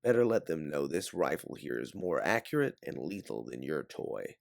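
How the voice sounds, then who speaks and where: A man's voice: extremely low pitch, big pitch swings, moderate pace, creaky, dry recording, studio quality, full character voice. A man voicing a tough, guarded female bounty hunter with a curt, defiant manner in an audiobook. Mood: defiant, threatening. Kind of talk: audiobook character voice.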